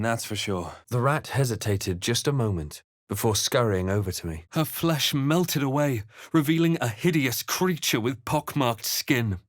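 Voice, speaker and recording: An actor playing a brooding fantasy adventurer in a game, narrating his quest in a grave, measured, deliberate way. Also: mid-range voice, dry recording, clean studio sound, natural voice. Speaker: video game player-character voice. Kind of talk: dramatic first-person game narration.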